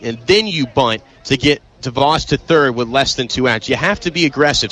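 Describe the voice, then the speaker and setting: A young American man calling live baseball play-by-play, emphatic and excitable on big plays. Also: mid-range voice. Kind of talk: live sports commentary.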